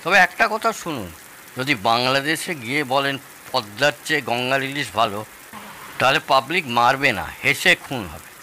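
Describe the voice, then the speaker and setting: A genial older father-in-law in a radio play. His voice is very bright and forceful, with a teasing, storytelling manner. There is faint noise behind him. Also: mid-range voice, lively intonation, moderate pace, poor audio. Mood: teasing, assured. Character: genial older father-in-law, proud champion of Padma hilsa. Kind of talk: Bengali audio drama dialogue.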